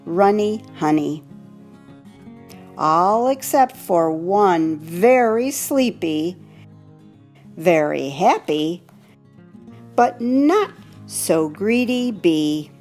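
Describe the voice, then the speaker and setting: Very slow, animated woman reading a children's story. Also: mid-range voice, soft background music. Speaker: volunteer storybook reader. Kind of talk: expressive children's story read-aloud.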